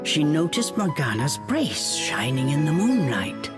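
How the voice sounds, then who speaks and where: A woman narrating a fairy tale for a video game in an expressive, measured storytelling manner. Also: low voice. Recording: faint music behind the voice.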